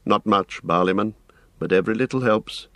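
A man voicing a blunt, grave, commanding ranger in an audiobook, speaking tersely and deliberately. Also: on the low side, a bit bright, dry recording, close to an everyday voice. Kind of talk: audiobook character voice.

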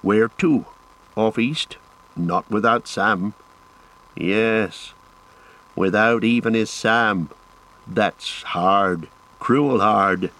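A man's voice: lowish voice, very slow, pauses often, fairly bright tone, exaggerated voice, rough recording. A man voicing a loyal, plain-spoken hobbit servant from a storybook, rustic and anxious. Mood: worried, reasoning.

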